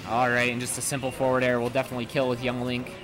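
A man doing steady play-by-play commentary on a fighting game tournament match. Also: medium pitch, racing, low-quality recording. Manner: steady play-by-play, dry jokes. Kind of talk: esports commentary. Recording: game music underneath.

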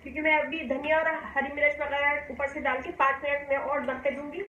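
A woman from Pakistan hosting a home cooking demonstration, calmly explaining each step and often inviting viewers to look. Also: high voice, fairly quick, dull audio. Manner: calm, homely explaining, often saying "dekhiye ji". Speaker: cooking channel host. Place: home kitchen, indoors. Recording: echoey kitchen with faint hum.

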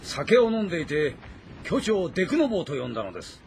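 Agitated, high-voiced officer. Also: male voice, very animated, fast, gravelly, slight background noise, exaggerated voice. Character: agitated military officer reporting to his lord.

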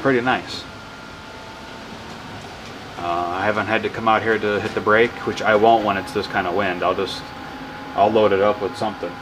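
A man casually explaining his wind turbine setup in a workshop, rambling and correcting himself with excitement. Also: on the low side, normal speed, long pauses. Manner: enthusiastic, rambling, self-correcting. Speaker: hobbyist wind power vlogger. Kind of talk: casual off-the-cuff demo narration.

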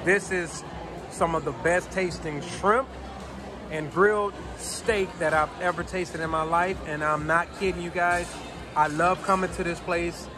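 A man in his thirties vlogging about food, talking into a phone at arm's length with plenty of excited exclamations. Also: high voice, measured pace. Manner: enthusiastic, exclaims wow often. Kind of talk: casual vlog. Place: busy indoor restaurant. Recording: loud restaurant crowd and music behind.

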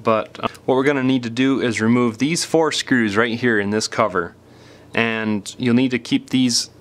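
A man's voice: medium pitch, a bit bright. A man hosting a do-it-yourself tutorial, explaining each step in a plain, informal way as he demonstrates. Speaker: repair tutorial host. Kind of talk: casual step-by-step hands-on demonstration.

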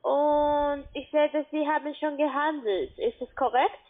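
A woman telemarketer with a foreign accent, giving a halting sales pitch by phone in non-native phrasing. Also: fairly even intonation. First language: not German. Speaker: cold-calling telemarketer. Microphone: telephone. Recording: narrow-band phone line.

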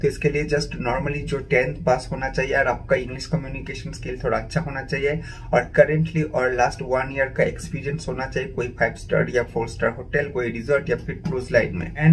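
A man's voice: mid-range voice, a little hiss or hum, poor audio. A young man from India hosting a career vlog, speaking directly and upbeat to viewers while mixing in English phrases, in a small indoor room. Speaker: career channel host. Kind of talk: informational vlog to camera.

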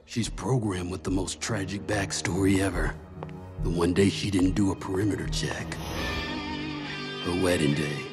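A man voicing a grave, solemn video game character in an animated film. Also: low voice, slow, pauses often, over music.

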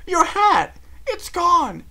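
Narrator doing a dramatic posh-mannered girl unicorn, gushy, way high voice, stretches out exclamations. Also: huge pitch swings, sharp tone, heavily acted. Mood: shrieking. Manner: theatrical, gushing, drawn-out exclamations. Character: dramatic, genteel, affectionate female unicorn pony.

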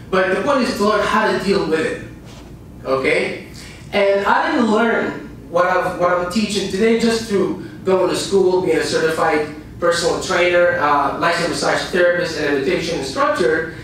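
A man in his thirties from Iraq gives an earnest seminar talk to a small audience, repeating lines for emphasis and pausing between phrases. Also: high voice, fairly bright tone, a little hiss or hum. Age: 30s. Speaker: motivational speaker. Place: dim small room with a projector screen and a small audience. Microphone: far camera, no visible mic. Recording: echoey room recorded from far off.

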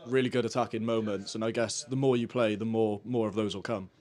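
A man's voice: fairly low pitch, fairly even intonation, brisk, darkish tone. A man asking questions as the interviewer in a post-match sports interview.

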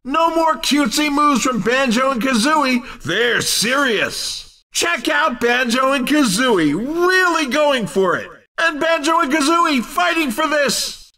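A man voicing an excitable, cheeky racing commentator in a video game, with punchy, exclamatory, theatrical delivery. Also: very high-pitched, far from the mic, heavily acted. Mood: excited, hyped. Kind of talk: excited sports-style race commentary.

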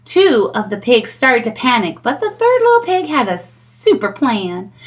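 A middle-aged woman reading a children's storybook aloud in an expressive storytelling style. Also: fairly high pitch, unhurried.

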